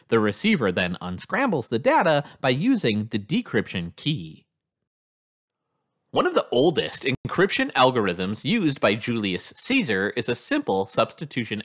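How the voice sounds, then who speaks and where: A man's voice: mid-range voice, a bit bright. A man reading a scripted instructional narration in an explanatory manner as a lesson narrator.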